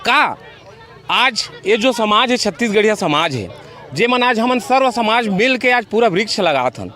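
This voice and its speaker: Male activist, Chhattisgarhi accent, high forceful voice, impassioned interview answers with insistent repetition. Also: very animated, measured pace. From Chhattisgarh, India. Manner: emphatic, repeats phrases. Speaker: social activist, NGO worker.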